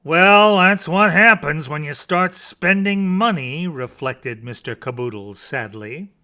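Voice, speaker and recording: A man's voice: sits high, bright, forceful tone. A man reading children's stories aloud as scripted narration, in a theatrical, emphatic way that savours the words. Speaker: storybook reader.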